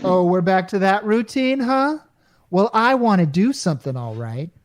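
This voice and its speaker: An actor playing a frantic everyman repairman and husband in a radio comedy, excitable, slangy and stammering. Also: high voice, moderate pace. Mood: demanding. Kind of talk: 1950s radio comedy acting.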